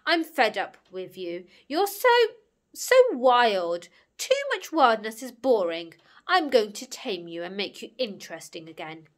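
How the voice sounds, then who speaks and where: A woman's voice: a little high, big pitch swings, moderate pace, few pauses. A woman voicing a small, brave, kind boy in a children's story, earnest and plain-spoken. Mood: firm, fed up.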